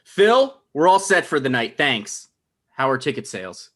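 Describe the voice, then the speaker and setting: A man's voice: medium pitch, big pitch swings, moderate pace. A man playing a neurotic, self-absorbed playwright, conversational and rambling, in a comedy table read. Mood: agitated.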